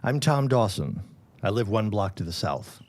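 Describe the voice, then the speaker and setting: Period drama father: middle-aged, mannerly, gentle, quietly droll, lower register. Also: very animated, moderate pace, no echo. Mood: courteous. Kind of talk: period audio drama acting.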